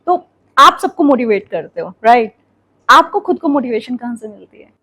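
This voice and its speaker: A woman interviewing a guest on a business podcast, asking slow, deliberate questions. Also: on the high side, very animated, sharp tone, husky.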